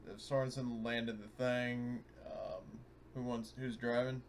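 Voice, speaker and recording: A man's voice: medium pitch, little pitch movement, unhurried. Man chatting casually, noisy recording. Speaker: player in a tabletop game. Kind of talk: casual chat. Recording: noisy audio, clear background noise.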